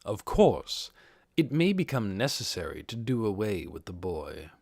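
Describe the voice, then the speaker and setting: Man voicing a deep, husky, composed overlord. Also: sing-song, a little slow, darkish tone, dry recording, studio quality, exaggerated voice. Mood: measured, calm. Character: composed undead overlord posing as an adventurer, male, commanding.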